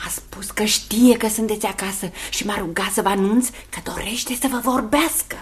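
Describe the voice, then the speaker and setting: An actress playing a polite, deferential household maid in a radio drama. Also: female voice, mid-range voice, natural voice. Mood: dutiful. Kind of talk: Romanian radio theatre acting.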